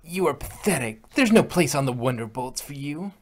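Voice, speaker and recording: A man voicing a harsh, contemptuous female team captain in a fan reading, in a husky voice. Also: fairly high pitch, animated, moderate pace.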